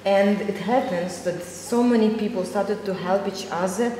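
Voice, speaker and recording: Mellow Eastern European woman in echoey hall. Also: mid-range voice, normal speed. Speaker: panelist. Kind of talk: halting panel talk in non-native English. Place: gallery room with small audience. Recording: very echoey hall, faint noise.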